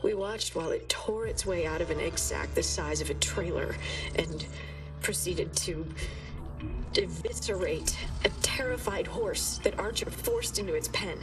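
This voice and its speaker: A shaken, sleepless scientist in a game's audio log. Her breathless voice sits a little back from the mic. Loud music plays under her. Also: on the low side, sing-song, unhurried, far from the mic, low-quality recording. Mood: haunted. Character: haunted, sleepless female scientist.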